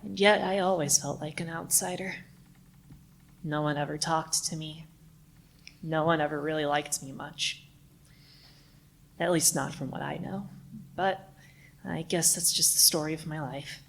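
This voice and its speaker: A woman's voice: low voice, steady tone, measured pace, vocal fry, pauses often. A woman playing a forlorn, overlooked custodian in a live radio play, speaking in a subdued, hesitant way. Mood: wistful, lonely. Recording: faint background noise, live stage recording.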